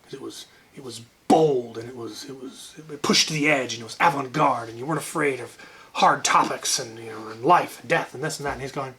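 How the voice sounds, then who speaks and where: A man's voice: medium pitch, brisk, pushed and bright. A man telling an anecdote casually as a channel host, wryly, often repeating words as he gathers his thoughts.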